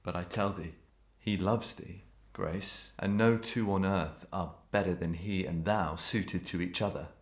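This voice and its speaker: A man's voice: low voice, fairly even intonation, a little slow, hardly any pauses, roomy sound. A man playing a tormented, menacing murderer husband in a Victorian melodrama, declaiming grandly and commandingly in archaic English. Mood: intense, probing. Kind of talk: old-fashioned melodramatic stage acting.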